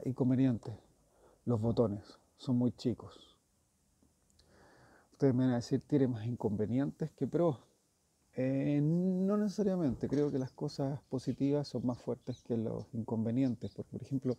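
A man's voice: mid-range voice, creaky, mellow, breathy edge, no room sound. A man giving a casual hands-on review as a motorcycle gear reviewer, informal and thinking aloud.

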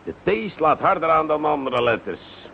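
An actor playing a pompous, bumbling, self-satisfied police commissioner in a radio play, muttering and blustering self-importantly. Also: male voice, on the high side, very animated, normal speed, gravelly. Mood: gloating. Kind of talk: radio drama acting. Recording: muffled, noisy old radio recording.

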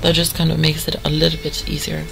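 A hoarse woman giving chatty, rambling commentary over a game she plays. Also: low voice, completely flat delivery, fast, over music. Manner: chatty, rambling, full of fillers. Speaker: gamer. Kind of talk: let's play commentary.

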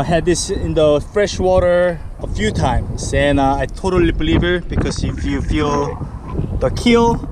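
A man's voice: a little high, noisy background. A man in his thirties vlogging casually to camera, upbeat and eager with loose fillers, first from a truck cab and then outdoors in a parking lot on a handheld camera. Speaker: fishing vlogger. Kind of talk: casual vlog talk to camera. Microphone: action camera on dash, then handheld at arm's length.

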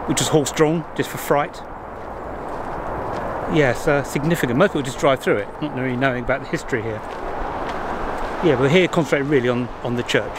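A middle-aged man in his fifties, chatting casually and musing aloud while walking outdoors, filmed on a handheld camera at arm's length. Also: a little high. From England. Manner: chatty, rambling, pauses to muse. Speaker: vlogger. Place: outdoors by a country churchyard near a motorway. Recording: loud traffic noise behind.

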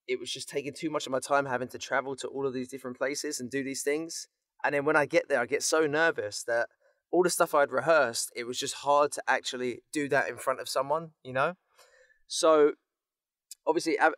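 A man's voice: medium pitch, sharp tone, dry recording, studio quality. A man chatting casually as a podcast guest, rambling and often interrupting himself, recorded on a microphone in a home booth. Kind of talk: casual chat.

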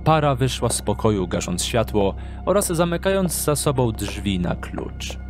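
A man reads dramatic, scripted story narration for an audio drama. His voice sits at a medium pitch, with music clearly audible underneath. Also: very animated, moderate pace.

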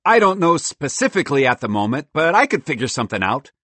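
Storybook squirrel played by a male narrator: high, eager, cocky, exclamatory, animated. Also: quick pace, dry recording. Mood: excited, cocky. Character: excitable, boastful, inventive male squirrel.

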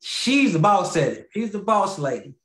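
A man's voice: high-pitched, big pitch swings, normal speed. Man with echoey video-call voice. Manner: rambling, laughs often, trails off quietly. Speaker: podcast guest, puppeteer. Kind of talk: casual interview chat over video call. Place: indoor home room with lamp. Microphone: video call, webcam.

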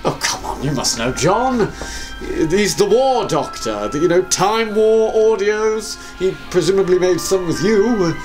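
A man's voice: high-pitched, expressive, measured pace, a little mellow, faint music behind. A young man in a scripted comedy sketch, talking in a flustered, stammering, fussy way. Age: young adult. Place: small home room, indoors.

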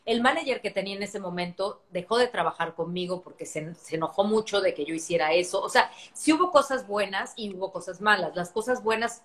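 A woman in her thirties with a Mexican accent, talking earnestly in a casual video call from her living room and gesturing with her hands. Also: mid-range voice. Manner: earnest, animated hand gestures, many restarts. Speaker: actress hosting an Instagram live. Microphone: phone on a video call.

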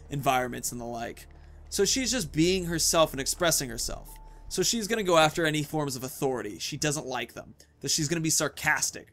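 Higher-voiced man narrating his gameplay. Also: a bit bright. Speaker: gaming video host. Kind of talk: casual gameplay commentary.

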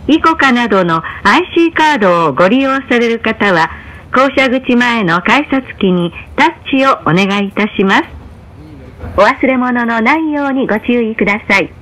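A woman reading scripted train announcements in a formal, measured way. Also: fairly high pitch, expressive, fairly bright tone, poor audio.

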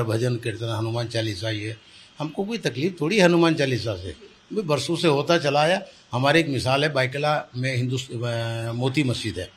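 An older man answers in a news interview. His pitch is mid-range and he explains things with emphatic repetition. Some room noise sits behind his voice. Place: small indoor office. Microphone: handheld reporter's microphone held close. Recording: clear background room noise.

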